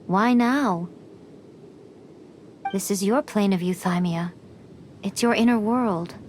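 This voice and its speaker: A woman voicing a sly, teasing, centuries-old fox envoy in a video game, speaking in a measured, theatrical way. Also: medium pitch, a little mellow, a little hiss or hum, natural voice. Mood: gently probing. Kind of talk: video game cutscene voice acting.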